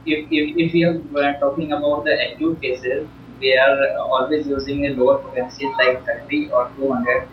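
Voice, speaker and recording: A man from India with an Indian accent, a homeopath co-hosting, giving earnest, measured explanations over a video call. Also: medium pitch, flat delivery, reverberant, lo-fi sound, slight background noise. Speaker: homeopath co-host. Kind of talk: explaining to an interviewer.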